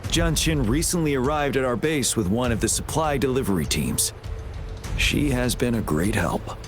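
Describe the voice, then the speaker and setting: An actor playing a composed army general in a video game, speaking in a formal, measured, authoritative way. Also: male voice, mid-range voice, animated, music in the background, lightly acted. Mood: composed, grave. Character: composed male army general briefing his troops. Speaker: military commander. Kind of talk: scripted video game dialogue.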